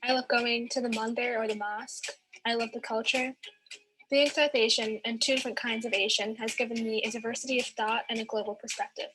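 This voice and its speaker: A woman's voice: a little high, level tone, fairly quick. A young woman giving a prepared personal testimonial on a noisy home video. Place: living room with staircase.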